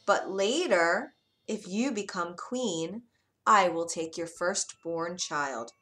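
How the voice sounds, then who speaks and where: A woman's voice: lowish voice, takes it slowly, a bit bright, soft background music. Woman doing a sly, smug little imp.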